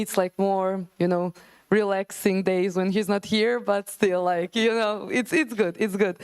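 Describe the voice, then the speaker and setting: A woman's voice: mid-range voice, a little mellow, clear, resonant tone. A young woman speaking casually and chattily as a panelist in a discussion. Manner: casual, chatty, filler-heavy.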